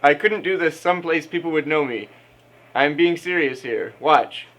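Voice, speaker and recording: A man performing his part in a live comic script reading, halting and self-conscious, in a live room recording with faint noise. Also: on the high side, a bit bright. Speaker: amateur performer acting out his lines.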